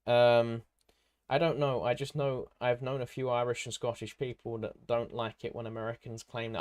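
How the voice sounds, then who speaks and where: A man hosting a casual live stream, rambling in an informal, matey way as he hops between viewer comments. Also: medium pitch. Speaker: livestream host and content creator.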